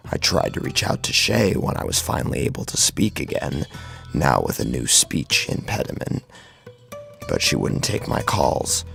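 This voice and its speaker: Guy acting a broken guilt-ridden man telling his horror story, super low croaky voice, brooding, swings around a lot. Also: a bit bright, full character voice. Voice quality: gravelly, husky. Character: anguished, guilt-ridden male victim recounting his tragedy. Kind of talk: first-person horror story narration.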